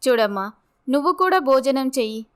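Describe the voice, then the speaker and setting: A kindly village leader's wife in a storybook drama , acted by a woman. She advises calmly and gently in a high-pitched, very clear voice. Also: moderate pace. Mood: kind, advising.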